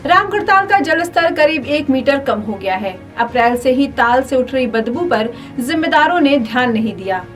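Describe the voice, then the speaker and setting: A woman's voice: high-pitched, lively intonation, normal speed, few pauses, distant mic, soft background music. A woman presenting a scripted radio news bulletin.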